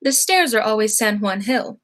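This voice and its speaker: A young woman acting a gentle, dainty elderly aunt in a high school radio play, mild and genteel. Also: expressive, normal speed, close to an everyday voice.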